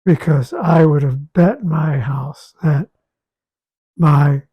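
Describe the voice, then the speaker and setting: A man with a raspy, hoarse voice talking casually about his health, halting and repeating words as he goes. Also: on the high side, dull audio. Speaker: guest sharing a health update. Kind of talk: casual conversation.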